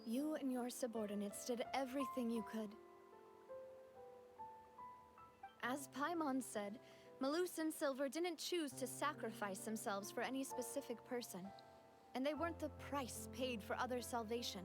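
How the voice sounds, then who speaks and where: A woman acting a gracious, gentle young female leader in a video game, grieving yet composed, over music. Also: sits high, natural voice. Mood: sincere, sad. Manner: gentle, measured, sincere. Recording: music behind the voice.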